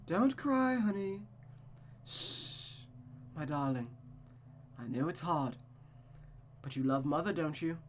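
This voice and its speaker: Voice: mid-range voice, lively intonation, slow, long pauses, a little hiss or hum. A performer voicing a cold, manipulative mother who coaxes and dominates her child with silky endearments in a visual novel. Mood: sweetly coaxing.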